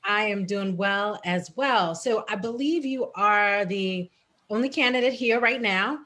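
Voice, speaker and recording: A woman's voice: medium pitch, unhurried, bright, forceful tone. Female moderator, chatty and friendly, frequent ums and restarts, on a video call. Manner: friendly, filler-heavy, repeats herself. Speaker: forum moderator. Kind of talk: moderating a candidate forum.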